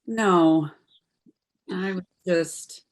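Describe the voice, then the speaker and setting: A woman's voice: fairly low pitch, very slow. Woman acting an earnest, troubled young daughter. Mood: evasive, subdued. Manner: pleading, heartfelt. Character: earnest, troubled 26-year-old daughter, medical student. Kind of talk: stage play dialogue, audio performance.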